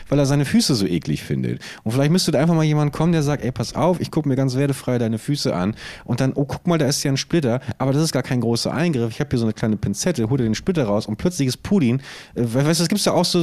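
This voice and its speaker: Guy podcast host rambling playfully, pitch swinging all over, kinda theatrical. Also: darkish tone. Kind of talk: casual comedic chat.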